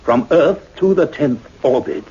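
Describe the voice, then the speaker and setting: A man's voice: fairly high pitch, expressive, unhurried, warm tone. An actor playing a commanding, urgent male scientist in a sci-fi radio play, speaking in an imperious, declamatory way. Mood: grave, awed. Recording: muffled, noisy old radio drama recording.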